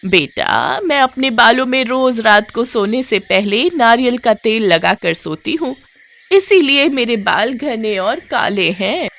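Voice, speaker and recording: A woman's voice: on the high side, animated, takes it slowly, a bit bright, voice pushed up, full character voice. Woman voicing a kindly older village aunt.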